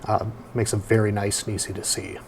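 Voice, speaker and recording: A man giving a casual hands-on product review, explaining things step by step in an unhurried way. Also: lowish voice, level tone, vocal fry, a little mellow, a little hiss or hum.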